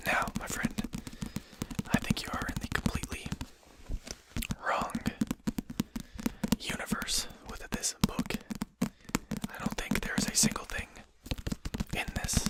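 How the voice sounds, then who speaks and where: A performer voicing a courteous but condescending vampire in a whispered ASMR roleplay, speaking formally and with measured care. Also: pushed and bright. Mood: condescending, dismissive. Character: courteous, condescending vampire manor lord, amused and civil.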